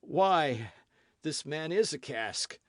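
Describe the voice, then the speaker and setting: A man voicing an anxious, fawning male innkeeper who pleads in a high, whiny voice. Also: animated, takes it slowly, dry recording, studio quality. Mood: scheming.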